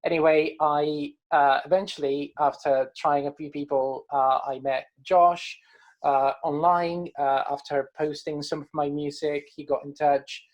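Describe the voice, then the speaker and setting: Man, hesitant and halting. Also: fairly high pitch, little pitch movement. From Caribbean coast of Colombia. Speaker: band musician being interviewed. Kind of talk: casual interview chat.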